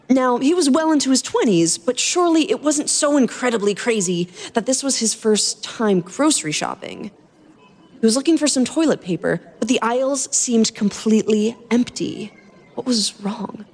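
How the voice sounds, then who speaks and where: A woman reading fan fiction aloud as a podcast host, in an expressive storytelling style. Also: on the high side, in a large hall.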